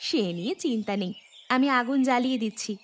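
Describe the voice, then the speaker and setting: A woman's voice: a little high, quick pace, very noisy, close to an everyday voice. Woman acting a coaxing, mysterious magical village housewife. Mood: calm, boastful. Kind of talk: Bengali fairy tale cartoon acting. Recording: music under the voice.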